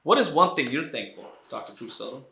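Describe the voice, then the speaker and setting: A man's voice: mid-range voice, animated, fast, gravelly, bright, forceful tone, echoey room. A man interviewing a guest, friendly and affirming, often answering with "right" and "mm-hmm". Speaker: interviewer, college counselor. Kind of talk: conversational interview.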